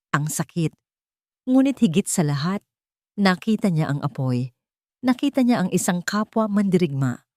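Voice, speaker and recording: Mababang boses ng babaeng tagapagsalaysay, may puntong Pilipino. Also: female voice, lowish voice, darkish tone, dry recording, studio quality. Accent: Filipino. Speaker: audiobook narrator. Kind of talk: dramatic scripted story narration.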